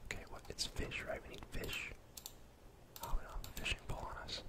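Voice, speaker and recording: A young man whispering casual, rambling ASMR commentary over a game, close to the mic in a breathy, unvoiced voice. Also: brisk. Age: young adult. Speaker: gaming ASMR host. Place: indoor room at home.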